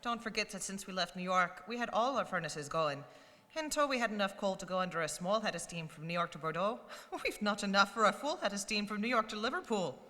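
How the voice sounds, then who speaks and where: A man plays a respectful ship's engineer for a radio drama. The voice is bright and plain-spoken, with hall reverb around it. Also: sits high, animated, a little fast. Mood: earnest. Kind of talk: stage radio-drama acting. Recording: hall reverb, somewhat distant.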